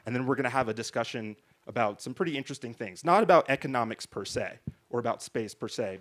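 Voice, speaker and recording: A man moderating a panel discussion on stage in front of an audience, talking into a handheld mic in a conversational way, often asking "right?" and restarting his sentences. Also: mid-range voice. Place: large hall stage before an audience.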